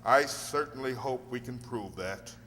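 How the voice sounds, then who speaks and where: Man acting a measured lawyer in echoey hall. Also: mid-range voice, a bit bright, lightly acted. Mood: challenging. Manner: deliberate, formal, questioning. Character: measured, probing male defence lawyer. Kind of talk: amateur radio drama acting. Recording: echoey hall, faint hum.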